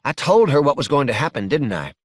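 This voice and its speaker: Man doing a scolding, high-pitched older Southern mother. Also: very animated, moderate pace, no room sound, studio quality. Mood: indignant, scolding. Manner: scolding, emphatic. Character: stern, indignant older Southern mother guarding the phone.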